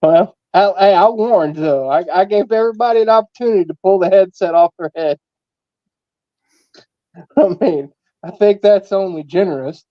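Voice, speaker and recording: High-voiced man joking offhand. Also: moderate pace, no room sound, lo-fi sound. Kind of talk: casual livestream chat.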